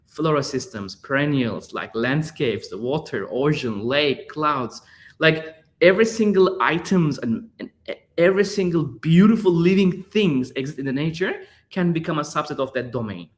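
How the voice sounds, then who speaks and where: A man's voice: fairly high pitch, animated, normal speed. A man in his thirties from Turkey with a Turkish accent, answering interview questions in a searching way, with frequent fillers and repeated words. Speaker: guest artist.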